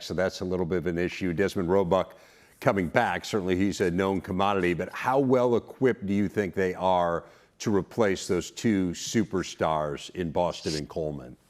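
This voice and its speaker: A man hosting a sports show, putting analytical questions to a guest in an interview. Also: deep voice.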